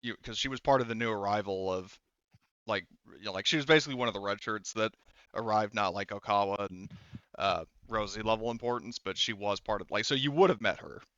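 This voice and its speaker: Man, game master, improvised narration, halting, casual, very dry sound, slightly dull audio. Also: fairly low pitch, fairly bright tone, smooth, no room sound. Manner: rambling, halting.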